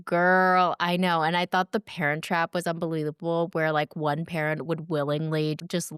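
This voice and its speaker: A woman's voice: low voice, flat delivery, moderate pace, fairly bright tone, clear, resonant tone, no echo, studio quality. A woman co-hosting a podcast, casually chatting about a movie with playful, incredulous rhetorical questions. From based in Portugal. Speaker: podcast co-host. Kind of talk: casual chat reviewing a movie.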